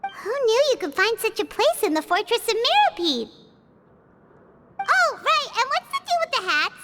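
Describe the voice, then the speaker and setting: A woman voicing a small childlike fairy companion in a video game, cheeky and curious, with a squeaky, chirpy voice. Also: sing-song, moderate pace, pushed and bright, faint music behind, full character voice. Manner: chirpy, refers to herself in third person.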